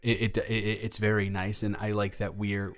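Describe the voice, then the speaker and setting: A man playing an awkward, affectionate high school boy in a romantic audio roleplay, speaking in a rambling, stammering way. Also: little pitch movement, natural voice. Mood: insecure, earnest. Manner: halting, rambling, stammering, self-deprecating.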